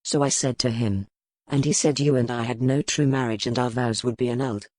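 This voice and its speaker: A woman's voice: a bass voice, steady tone, brisk, darkish tone, no echo, pitched below the performer's own voice. A woman voicing a weary medieval duke, terse, formal and guarded, in audiobook character dialogue. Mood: curt formal. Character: weary, curt medieval duke, a soldier husband.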